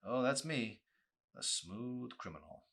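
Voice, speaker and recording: Guy doing an awkward teen boy in a story, bright voice, hesitant, defensive, fumbles his words. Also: mid-range voice, lively intonation, takes it slowly, voice pushed up. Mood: pleading, bashful.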